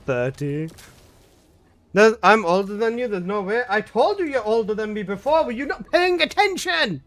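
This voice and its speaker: A man's voice: high voice, lively intonation, normal speed, a bit bright. A man in his twenties, a game streamer chatting casually and jokingly with his live chat, swearing often. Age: 20s.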